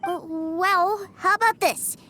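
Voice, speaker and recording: A woman playing an eager, stubborn little boy in a video game, with an excitable, pleading manner. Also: very high-pitched, takes it slowly, heavily acted.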